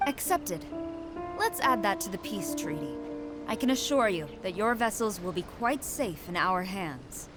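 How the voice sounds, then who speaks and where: A woman's voice: mid-range voice, expressive, measured pace, close to an everyday voice. Woman doing a stern army commander, firm and formal, music under it. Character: stern female military commander. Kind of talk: video game voice acting.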